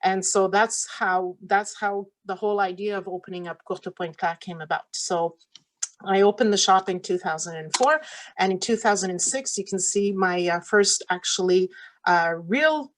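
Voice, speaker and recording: A middle-aged woman whose first language is French, a quilt shop owner giving a casual talk over slides on a video call, with many fillers and restarts. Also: medium pitch. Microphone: computer mic on a video call.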